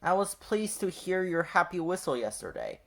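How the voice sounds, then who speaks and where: A man's voice: high voice, very animated, moderate pace, vocal fry, pitched above the performer's own voice, heavily acted. A man voicing a kind, determined, helpful steam engine with an earnest, friendly manner in a children's storybook.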